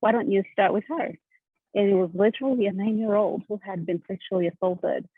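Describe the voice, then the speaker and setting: A woman's voice: medium pitch, fairly quick, breathy edge, no echo. A woman from East Africa, a therapist and founder, telling her story earnestly as a panel guest. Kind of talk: panel interview answers. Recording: tinny phone-like video call audio.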